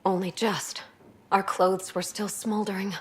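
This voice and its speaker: A woman's voice: mid-range voice, flat delivery, a little slow, natural voice. A woman playing a weary alien junior officer, a refugee survivor, in video game cutscene dialogue.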